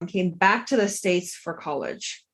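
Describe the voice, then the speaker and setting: A woman in her thirties who grew up in South Korea answers interview questions about her path into law. She talks in a reflective, chatty way, full of "like" and small restarts. Her voice sits a little low for a woman. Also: lively intonation, unhurried, a bit bright. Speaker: guest, lawyer and health coach.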